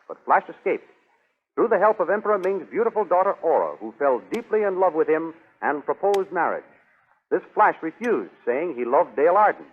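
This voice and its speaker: An American male announcer narrates like a 1930s adventure serial. His voice is high for a man, formal and declamatory. It sounds thin and tinny, like an old broadcast. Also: sing-song, fairly quick, breathy edge.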